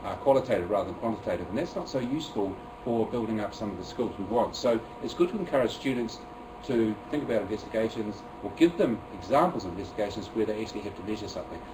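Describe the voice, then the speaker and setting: Kiwi man running a teachers' workshop, quick and chatty, recorded off-mic with room noise. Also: fairly low pitch, animated, far from the mic. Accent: New Zealand. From New Zealand. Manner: chatty and self-deprecating, many fillers and restarts. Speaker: teacher presenting to fellow teachers. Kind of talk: workshop presentation. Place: room with an audience.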